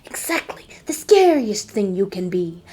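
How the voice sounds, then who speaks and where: A woman voicing a big, hairy, friendly male monster in a children's storybook, jovial and excited. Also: medium pitch, measured pace, breathy edge. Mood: jovial, admiring.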